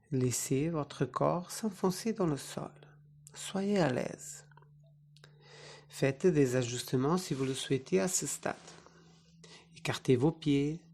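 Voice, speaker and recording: A yoga nidra teacher guiding a relaxation meditation in short, soothing phrases with pauses between them. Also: mid-range voice, a little mellow.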